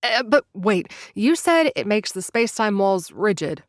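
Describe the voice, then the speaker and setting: A woman's voice: mid-range voice, big pitch swings, measured pace, dry recording, clean studio sound. A woman narrating and acting in an audio drama, wry and easily flustered, speaking to listeners. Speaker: time-traveler narrator addressing listeners.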